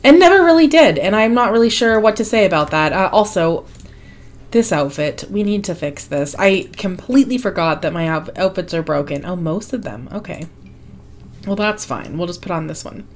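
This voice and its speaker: A woman hosting a life-sim game video, her voice hoarse and fading, chatting casually with frequent ums and small laughs. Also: on the low side, expressive, moderate pace, a little hiss or hum. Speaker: gaming channel host. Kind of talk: casual gameplay commentary.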